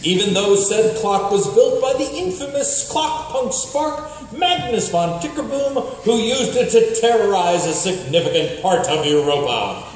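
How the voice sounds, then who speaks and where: A man's voice: high-pitched, lively intonation, unhurried, hardly any pauses. A man narrating a comic radio drama theatrically, with mock-serious, playful emphasis. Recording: very echoey hall, distant, faint noise.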